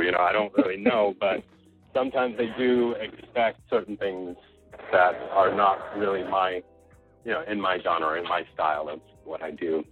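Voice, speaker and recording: Casting: easygoing male chef, deep husky voice, phone-quality sound, dry. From Costa Rica. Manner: easygoing, rambling, many fillers. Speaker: chef being interviewed. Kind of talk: relaxed conversational interview. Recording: tinny phone-like line.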